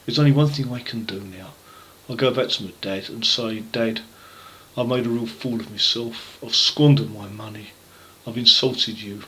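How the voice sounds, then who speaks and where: A man's voice: lowish voice, normal speed, sharp tone, rough recording. A man voicing a rebellious, later humbled younger son in a told parable, earnest and plain-spoken. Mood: rueful, resolved.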